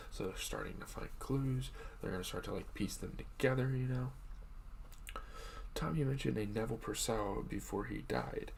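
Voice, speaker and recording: A young man, hoarse from just waking up, casually recapping a show episode with a rambling, chatty manner. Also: mid-range voice, slight background noise. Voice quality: gravelly.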